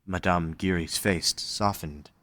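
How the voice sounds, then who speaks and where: Male narrator for scripted fiction. Low-pitched, dry recording. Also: steady tone, slow, a bit bright, no room sound.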